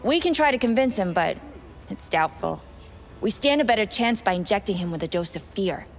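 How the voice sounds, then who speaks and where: Game role, female actor: jaded woman schemer, sardonic and dismissive, medium pitch. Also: lively intonation, fairly quick, soft background music, lightly acted. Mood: scornful, businesslike. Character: jaded, scornful woman plotting a gang takeover. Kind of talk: video game voice acting.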